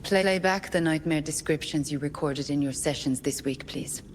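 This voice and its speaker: A woman playing a composed, probing therapist in a space colony for a game audio log, speaking in a calm, clinical, measured way. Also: deep voice, big-room sound, slight background noise, natural voice. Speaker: doctor, therapist.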